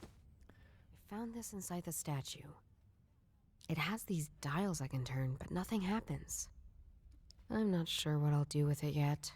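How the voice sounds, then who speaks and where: An actress playing a tough, dry-witted woman detective who narrates a noir game in a deadpan, understated way. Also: sits low, unhurried, pauses often, no echo, heavily acted. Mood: intent, wary.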